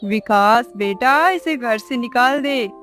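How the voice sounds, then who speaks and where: A woman's voice: a little high, lively intonation, slow, a bit bright, soft background music. A woman voicing a harsh, scornful older mother-in-law in a scolding, contemptuous way for a moral-story cartoon. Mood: bitter, scornful. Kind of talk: acted dialogue in Hindi moral-story cartoon.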